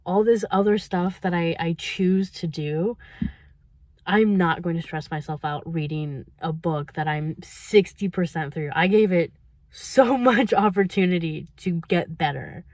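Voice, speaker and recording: A woman's voice: fairly low pitch, measured pace, a little mellow, dry recording. A young woman talking casually in a reading vlog, chatty and laughing often between her thoughts. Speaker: book vlogger.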